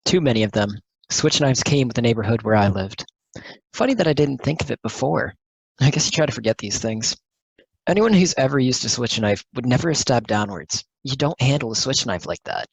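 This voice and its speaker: Streetwise, excitable juror, played by an amateur actor, husky voice, amateur audio drama. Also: medium pitch, brisk, low-quality recording. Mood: explaining. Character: excitable juror from a rough neighborhood.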